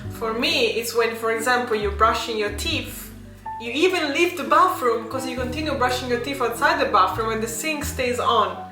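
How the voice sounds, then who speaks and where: A fairly high-voiced woman speaks playfully to camera, picking her words slowly. Her voice bounces around an echoey room. Music plays underneath. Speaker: co-host of a couple channel. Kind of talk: casual couple chat to camera.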